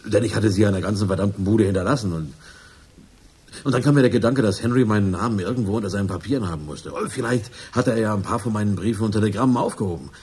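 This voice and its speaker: An actor playing a nervous, self-justifying crook confessing in a radio crime drama, halting and colloquial. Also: male voice, fairly low pitch, darkish tone, slightly rough. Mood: uneasy, agitated.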